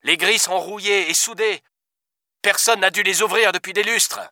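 A man's voice: high voice, steady tone, moderate pace, bright, forceful tone, no room sound, studio quality, pitched above the performer's own voice, full character voice. A man reading aloud, voicing a blunt, swearing male police officer in a raised voice. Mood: gruff. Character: gruff foul-mouthed male French cop.

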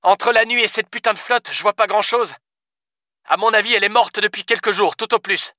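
Guy doing a cussing cop on the phone, high bright voice, dry sound. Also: brisk, no echo. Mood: shaken. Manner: swears often, blunt. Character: gruff foul-mouthed male French cop.

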